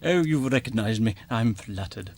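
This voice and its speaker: A man's voice: fairly low pitch, lively intonation, moderate pace, a little mellow. A man playing a pompous, blunt theatre director in a radio comedy. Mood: polite. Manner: pompous, emphatic.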